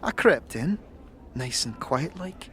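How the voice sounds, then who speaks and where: A sharp-tongued young street thief played by a woman in a fantasy game drama. Her pitch jumps about freely as she teases and warns in brash slang. Noise and ambience sit clearly behind the voice. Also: animated, takes it slowly, full character voice. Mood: cautioning.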